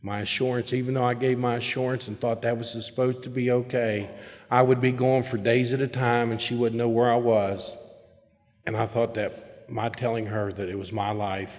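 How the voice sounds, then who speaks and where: A man's voice: mid-range voice, flat delivery, normal speed, a little mellow. Candid man telling his story in a hall. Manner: candid, plain-spoken storytelling with emphatic bursts. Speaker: speaker sharing his recovery story. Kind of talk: personal recovery talk to a meeting. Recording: long hall reverb.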